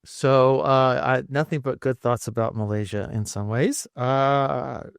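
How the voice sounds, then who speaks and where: Gravelly-voiced male podcast host, chatty. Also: mid-range voice, steady tone, a little slow, dry recording, studio quality. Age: 60s. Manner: rambling, friendly, many fillers and restarts. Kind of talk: casual conversational interview.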